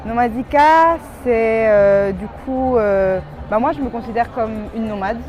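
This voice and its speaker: A woman from West Africa answering interview questions casually, pausing to search for her words. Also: fairly high pitch, muffled recording, some chatter behind. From born in Belgium, grew up in West Africa. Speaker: entrepreneur being interviewed. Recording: noisy urban ambience behind voice.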